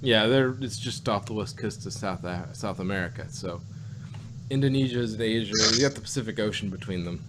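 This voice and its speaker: A man chatting casually as a review co-host, often halting, repeating words and trailing off. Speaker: podcast co-host reviewing audio dramas. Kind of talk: casual chat. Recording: loud background noise, vehicle-like rumble.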